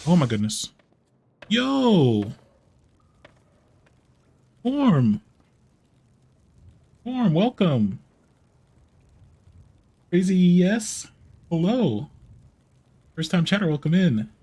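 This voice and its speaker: A man chatting casually on a gameplay livestream in a halting way, often repeating his phrases. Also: high-pitched, very animated, mellow, husky. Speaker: live streamer, VTuber. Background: game music.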